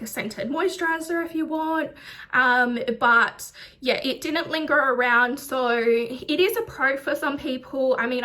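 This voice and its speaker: A high-voiced woman chats through a casual product review vlog, with plenty of ums and likes. She sounds slightly nasal from a recent chest infection. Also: pushed and bright. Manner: chatty, lots of ums and likes. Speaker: beauty vlogger.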